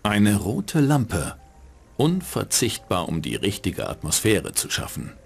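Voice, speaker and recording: An actor playing a cynical, hard-boiled private detective in a dubbed game, with a dry, sardonic delivery. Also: male voice, fairly low pitch, huge pitch swings, slow pace, creaky, husky, exaggerated voice. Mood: dry, wry. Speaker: narrating game protagonist.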